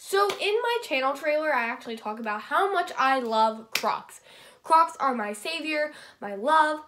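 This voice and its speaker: A bubbly young woman chats straight to her phone camera in a high, bright voice. The phone audio carries constant background noise from the mall and the car. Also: lively intonation, moderate pace. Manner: bubbly, chatty, talks straight to viewers. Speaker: vlogger. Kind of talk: casual vlog to a phone camera. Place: car, bedroom and a busy shopping mall. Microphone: handheld phone camera at arm's length.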